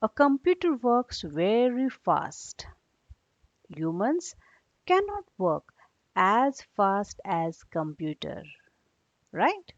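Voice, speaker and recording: Very slow-paced woman teacher, echoey room. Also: medium pitch, sing-song. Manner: didactic, checks understanding with short questions. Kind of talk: read-along lesson for young children. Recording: dull, echoey room recording.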